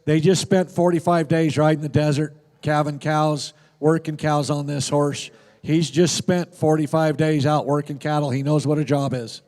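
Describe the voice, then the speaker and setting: A man's voice: on the high side, flat delivery, moderate pace, a little mellow. Male sale announcer in echoey barn. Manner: plain informative delivery with fillers. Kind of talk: reading lot descriptions.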